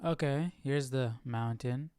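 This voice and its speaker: A man's voice: medium pitch, slow pace, a little mellow, no echo. A man hosting an informal Bible study livestream, chatting casually and thinking aloud as he goes.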